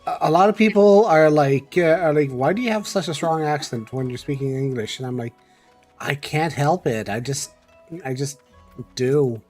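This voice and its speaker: A man chats casually on a game stream, tripping over repeated restarts. His voice sits a little high for a man, and faint music plays behind him. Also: normal speed, a little mellow. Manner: stammering restarts. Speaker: co-host guest. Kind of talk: casual chat.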